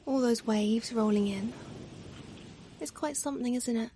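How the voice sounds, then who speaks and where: A woman playing a tender, doting mother in an ASMR roleplay, speaking softly and affectionately, sometimes nearly whispering. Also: a little high, a little slow, close to an everyday voice. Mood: gentle, reminiscing. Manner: soft, affectionate, gently murmuring, sometimes near whisper. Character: tender, doting, playfully self-deprecating mother comforting her pet. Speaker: ASMR performer. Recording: steady ambient noise, ocean waves. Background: ocean waves ambience.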